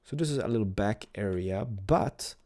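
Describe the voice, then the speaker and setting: A man's voice: lowish voice, normal speed, a little mellow. A man with a cold casually narrating a building game, thinking aloud and joking at himself. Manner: playful, thinking aloud, self-mocking. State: has a cold. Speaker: Minecraft building host.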